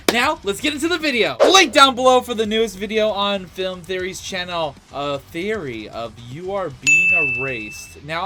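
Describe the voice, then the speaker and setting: A man hosting a reaction video with casual, rambling commentary and occasional casual swearing. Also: high voice.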